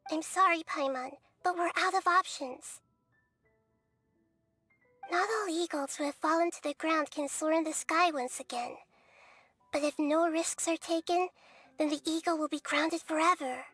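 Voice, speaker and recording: A woman voicing a gentle small girl goddess who talks in a soft, calm, reassuring way, sometimes near a whisper. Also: very high-pitched, a little slow, pauses often, no echo, faint music behind. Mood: resolute. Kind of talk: game cutscene voice acting.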